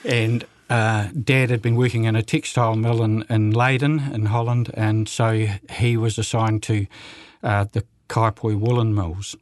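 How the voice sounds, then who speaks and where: A man's voice: fairly low pitch, little pitch movement, unhurried, few pauses, no room sound. A man around seventy from New Zealand, a radio guest talking casually with many fillers and restarts.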